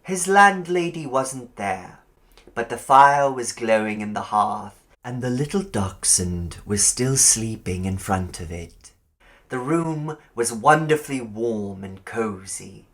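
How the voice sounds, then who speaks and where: A man narrating a short story as an audiobook, with a measured, dramatic storytelling delivery. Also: medium pitch, expressive, fairly bright tone. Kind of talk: expressive scripted story narration.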